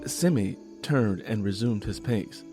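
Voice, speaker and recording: A man's voice: fairly low pitch, expressive, measured pace, music in the background. A man reading scripted fiction as an audiobook narrator.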